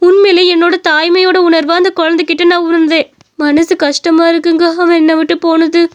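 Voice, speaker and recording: Woman acting a high-voiced, pleading young foster mother. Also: level tone, fairly quick. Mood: grieving. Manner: imploring, emotional. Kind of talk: cartoon story dialogue.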